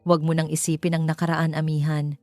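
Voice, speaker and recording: A woman's voice: sits low, level tone, fast, a little mellow, no echo, faint music behind, close to an everyday voice. A woman voicing a cold, commanding, rich older mother with a Filipino accent in a narrated romance story. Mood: cold, controlling.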